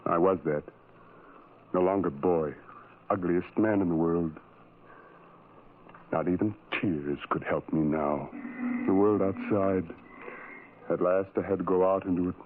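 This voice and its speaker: A man's voice: low-pitched, measured pace, pauses often, narrow, tinny audio, slight background noise. An actor playing a tormented, self-loathing ugly man who narrates his life in anguished, brooding, repetitive phrases, in a 1970s radio drama style. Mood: resigned, bitter. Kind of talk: 1970s radio drama acting, first-person monologue. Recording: thin vintage radio sound, music underneath.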